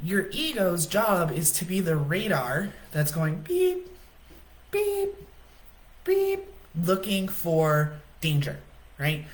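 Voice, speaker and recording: A woman host giving a casual spiritual coaching talk on a livestream, chatty and often checking in with "right?", in her own low voice. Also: big pitch swings, slow pace.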